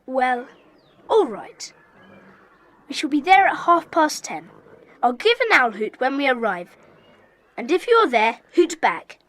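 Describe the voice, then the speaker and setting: A schoolboy gang leader in a children's audio play. The voice is high and very lively, recorded close and dry. He is brisk, bossy and sure of his plans. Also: no room sound, exaggerated voice. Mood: decisive. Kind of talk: children's audio drama acting.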